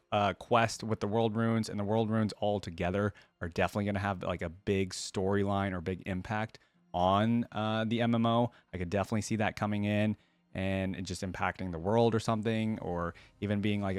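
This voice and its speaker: A man's voice: on the low side, flat delivery, normal speed, clean tone, dry recording. A man giving casual commentary to viewers as a reaction host, thinking aloud and wandering off on digressions. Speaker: video creator, reaction host.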